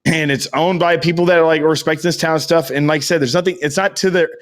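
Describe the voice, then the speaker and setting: A man's voice: fairly high pitch, fairly even intonation, fast talker. An American man chatting casually as a podcast co-host, rambling and emphatic, with slangy talk and free swearing.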